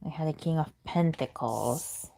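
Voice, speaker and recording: Woman, native Spanish speaker, casual tarot reader, low voice, muses aloud while pulling cards. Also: a little slow, gravelly, warm tone. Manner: chatty, thinking aloud, murmurs while reading cards. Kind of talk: live tarot reading, answering chat questions.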